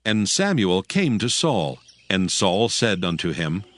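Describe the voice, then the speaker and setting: A man's voice: sits low, big pitch swings, slow, a little hiss or hum. A man narrating a dramatized scripture reading in a scripted storytelling style.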